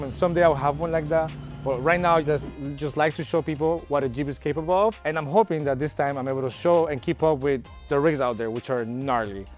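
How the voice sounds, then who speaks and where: A man in his thirties from Colombia who owns an off-road shop, speaking in a friendly, chatty casual interview. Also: fairly high pitch. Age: 30s. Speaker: off-road shop owner and competitor.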